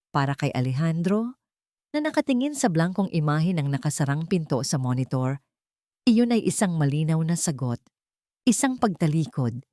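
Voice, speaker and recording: Low, dry-sounding woman narrating drama. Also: warm tone, no room sound. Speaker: storyteller. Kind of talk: dramatic scripted narration of a Tagalog audio love drama.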